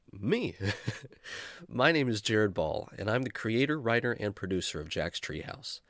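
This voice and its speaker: A man's voice: lowish voice, fairly bright tone, no echo, studio quality. Understated man reading a show sign-off. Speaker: show creator and producer. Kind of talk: scripted sponsor announcement and sign-off.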